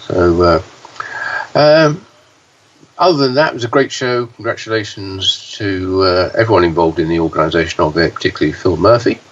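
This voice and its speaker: A man with a low, hoarse voice chats on a podcast, halting and restarting as he goes. He sounds set back from the mic, and the sound is dull with a faint hiss. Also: distant mic. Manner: halting, many fillers and restarts. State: hoarse, deeper voice than usual. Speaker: podcast co-host, pinball news reporter. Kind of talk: casual podcast chat.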